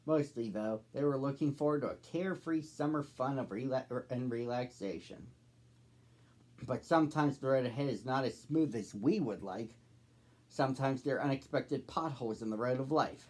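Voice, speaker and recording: A man's voice: medium pitch, moderate pace, darkish tone. A man in his thirties hosting and reading a storybook aloud for children. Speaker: storybook reader, host.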